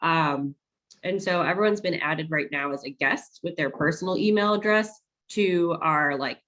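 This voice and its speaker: A woman hosting a virtual town hall on a video call, explaining onboarding steps in a friendly, casual way with many ums. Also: sits low. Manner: friendly, filler-heavy explaining. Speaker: onboarding staff host.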